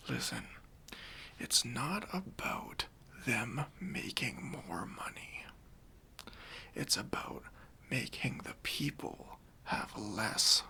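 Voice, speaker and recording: A man playing a kindly, reassuring bank robber in a soft, breathy ASMR roleplay, speaking gently and casually to an accomplice. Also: medium pitch, full character voice. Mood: earnest, conspiratorial. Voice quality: breathy, near-whispered.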